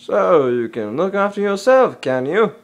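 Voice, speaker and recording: An actor playing a stern, protective panther in an animated film, authoritative and easily exasperated. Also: male voice, sits high, a little slow, muffled recording, exaggerated voice. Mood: exasperated, scolding. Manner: authoritative, formal, scolding.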